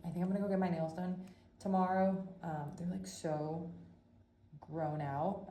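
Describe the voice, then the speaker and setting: A young woman in her twenties chats through her workday in a rambling vlog, her voice low and mellow and slightly congested from a cold. She fills her sentences with likes and ums. The sound bounces around an echoey room with a faint hum behind it. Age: 20s. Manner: chatty, rambling with frequent "like" fillers. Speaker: vlogger. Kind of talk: casual vlog chat. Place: home and office.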